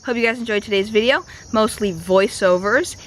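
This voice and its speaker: A young woman vlogger, a bit raspy and slightly sick with a stuffy nose, chatting casually with lots of fillers. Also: mid-range voice, moderate pace, a little hiss or hum, rough recording. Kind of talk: casual vlog voiceover and to-camera talk. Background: music.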